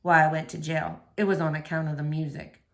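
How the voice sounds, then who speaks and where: A woman voicing a lonely, gentle middle-aged man, a shy ex-convict guitarist who talks in a quiet, slow mumble. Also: deep voice, level tone, voice pushed down. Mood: quiet confessing.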